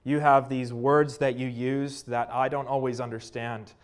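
A man with a Canadian accent preaching a sermon, reading scripture and praying in an earnest manner with frequent pauses and fillers. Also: medium pitch, little pitch movement, clear, resonant tone.

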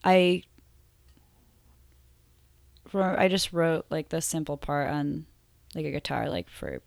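Woman guest, low gravelly voice going hoarse, soft halting chat. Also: expressive, no room sound. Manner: halting, many fillers, soft-spoken. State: losing her voice. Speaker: musician guest. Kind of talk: casual interview chat.

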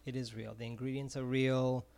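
A man's voice: mid-range voice, level tone, moderate pace, vocal fry, darkish tone. Man, middle-aged, from Israel, earnest, repeats words, casual interview. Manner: earnest, repeats words while searching for phrasing. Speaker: ice cream vendor and former music teacher.

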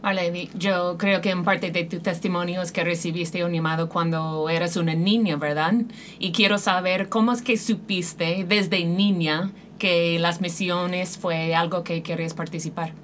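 A woman co-hosting an interview, asking gentle, friendly follow-up questions. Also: fairly low pitch, level tone, moderate pace, hardly any pauses, slight background noise. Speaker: co-host. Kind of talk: interviewing guests.

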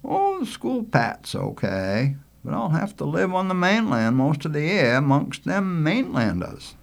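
A man's voice: on the high side, wildly swooping pitch, a little slow, creaky, pitched above the performer's own voice, full character voice. A man voicing an eager, earnest island boy, polite and outspoken, with folksy rustic speech in a storybook reading.

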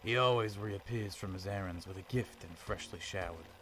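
A man's voice: low voice, moderate pace, a bit bright, a little hiss or hum. A man playing an earnest, brooding police detective with a poetic streak in an audio drama. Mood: pensive, uneasy. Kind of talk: audio drama acting with noir-style diary narration.

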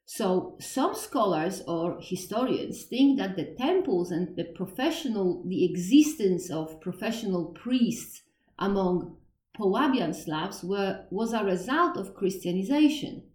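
A woman's voice: medium pitch, expressive, a little slow, darkish tone. Polish-accented woman, roomy and distant. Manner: thinking aloud, self-deprecating, frequent laughs and restarts. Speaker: educator on Slavic history. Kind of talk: informal explanatory talk.

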